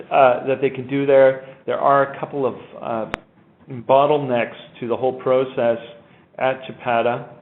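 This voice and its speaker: Man on a phone-line earnings call. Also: medium pitch. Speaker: company executive. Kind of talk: earnings call presentation and answers. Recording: muffled phone-line conference audio.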